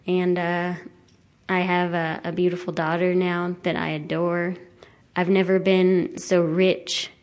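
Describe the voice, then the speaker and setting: Woman sharing her story with a group, lowish voice, pretty flat, lots of halting. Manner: hesitant, halting. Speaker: attendee sharing personal story at a conference. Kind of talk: personal testimony to a group.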